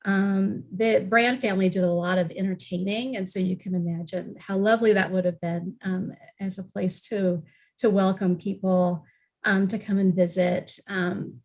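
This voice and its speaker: A woman with a medium-pitched voice gives an informal historical explanation on a panel. She speaks haltingly, pausing and hesitating often between phrases. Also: steady tone, darkish tone. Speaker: library representative on a panel.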